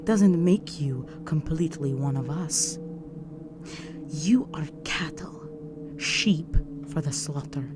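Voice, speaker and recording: A woman voicing an imperious, seductive vampire countess in a scripted audio roleplay, poised, commanding and softly menacing. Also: low voice, slow, over music. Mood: cold, menacing.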